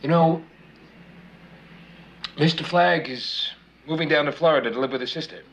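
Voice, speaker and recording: An actor playing an unemployed husband who talks gruffly and sarcastically. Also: male voice, on the high side, moderate pace, slight background noise, natural voice. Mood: gentle. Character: unemployed husband, proud and frustrated. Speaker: out-of-work husband.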